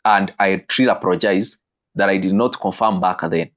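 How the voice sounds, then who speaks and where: Animated man teaching. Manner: animated, with sudden pitch leaps and slowed emphatic phrases. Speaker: marriage teacher. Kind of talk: teaching talk on marriage.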